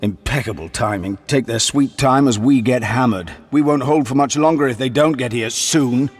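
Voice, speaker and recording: A man's voice: medium pitch, normal speed, breathy edge, soft background music, lightly acted. An actor playing a weary, sarcastic male commander holding a barricade. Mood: exasperated. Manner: sarcastic, exasperated.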